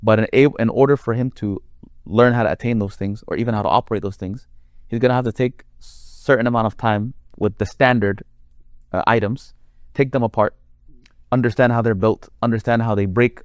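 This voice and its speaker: A man with a deep voice, a Quran reciter explaining his craft in a conversational interview, building his points with long worked examples. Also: a little mellow, no room sound, clean studio sound.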